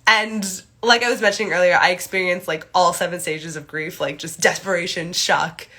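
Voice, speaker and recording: A woman's voice: fairly low pitch, fairly even intonation, normal speed. A young woman with a stuffy, congested nose, chatting casually to viewers on a phone livestream with lots of filler words, recorded in a small room. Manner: chatty, many "like" and "um" fillers, greets viewers brightly. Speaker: astrology livestream host. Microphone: phone camera at arm's length.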